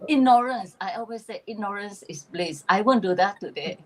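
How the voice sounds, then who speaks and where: A woman's voice: mid-range voice, normal speed, rough recording. A woman with a Malaysian accent, a guest telling her story in casual chat on a video call and laughing often. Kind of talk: casual chat on a live stream.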